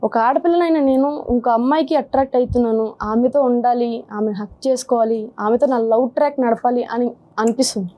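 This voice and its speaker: A woman's voice: on the high side, fairly even intonation, brisk, mellow. A woman in her twenties, earnestly and hesitantly telling her personal story in an interview. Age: 20s. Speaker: guest sharing her problem. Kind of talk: confessional interview.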